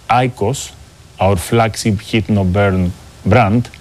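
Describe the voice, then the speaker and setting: A middle-aged man with a Greek accent gives measured, formal answers as a business executive being interviewed. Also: lowish voice, darkish tone. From Greece. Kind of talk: prepared corporate interview answers.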